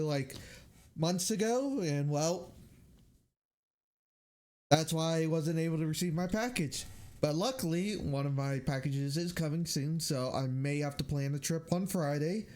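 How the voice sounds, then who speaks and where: A young man giving casual livestream game commentary in a halting, rambling way. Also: on the high side, a little mellow. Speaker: game streamer. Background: game music.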